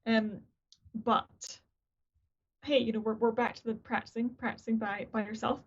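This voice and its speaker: A woman's voice: a little high, little pitch movement, moderate pace, husky. Chatty woman on a muffled video call. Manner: restarts sentences, chatty and self-deprecating. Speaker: guest, opera singer and voice teacher. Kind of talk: casual video-call conversation. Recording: dull lo-fi video-call audio.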